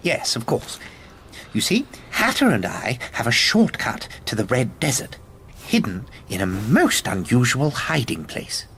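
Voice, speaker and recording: An actor voicing a fretful, well-mannered rabbit guide in a video game, with a proper, hesitant delivery. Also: male voice, medium pitch, huge pitch swings, unhurried, fairly bright tone, slight background noise, exaggerated voice. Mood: evasive, fussy. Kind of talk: video game cutscene acting.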